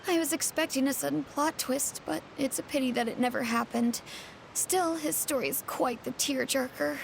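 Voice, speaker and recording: A woman's voice: high-pitched, normal speed, gravelly, a little hiss or hum, low-quality recording. A woman playing a worldly, theatre-loving townswoman in an anime video game, articulate and airy in manner. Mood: wistful. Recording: music playing behind.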